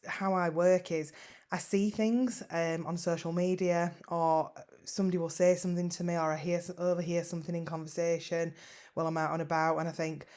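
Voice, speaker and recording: A woman's voice: low voice, darkish tone. A middle-aged woman from West Yorkshire with a West Yorkshire accent, chatting casually in a vlog, self-deprecating and full of ums and repeated words. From West Yorkshire, England. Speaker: online seller vlogger. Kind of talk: casual screen-share vlog.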